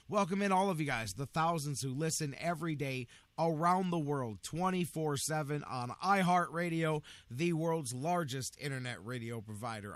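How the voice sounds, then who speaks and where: A man with a gravelly, sleep-short voice hosting a sports talk radio show, energetic and rambling with catchphrases. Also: a little high, dry recording.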